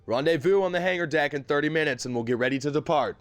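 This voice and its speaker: A man's voice: a little high, fast talker, no room sound, natural voice. A man playing a no-nonsense Marine captain in an audio drama, speaking directly and with command. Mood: brisk, commanding. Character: no-nonsense male Marine captain leading his squad.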